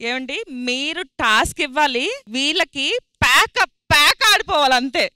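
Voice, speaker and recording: A woman's voice: sits high, moderate pace, pushed and bright, no room sound, studio quality. A woman in playful comic banter on a TV stage. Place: TV studio stage.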